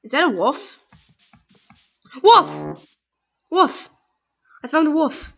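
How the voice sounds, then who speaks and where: A young woman playing a block-building game with a friend, chatting casually, excitable and insistent, dropping to murmurs while she plays. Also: sits high, drawn-out words. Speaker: gamer. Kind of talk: casual let's play chat.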